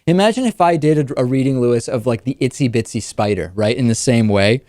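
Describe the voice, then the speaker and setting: A man's voice: mid-range voice, fairly quick, darkish tone, no room sound. A man hosting a political talk show, giving conversational commentary.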